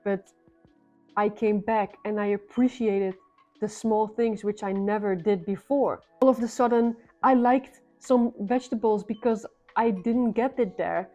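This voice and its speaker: Dutch-accented young woman, mellow vlog voice. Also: medium pitch, fairly even intonation, unhurried, dull audio, soft background music. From Netherlands. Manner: earnest, restarts phrases often. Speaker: vlogger. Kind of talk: personal vlog.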